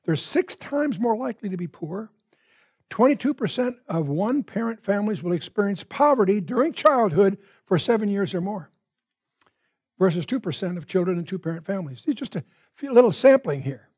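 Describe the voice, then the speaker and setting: An older American man with a husky voice teaching a Bible study in a deliberate, emphatic manner, phrase by phrase. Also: high-pitched, very animated, darkish tone. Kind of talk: Bible study lecture opened with prayer.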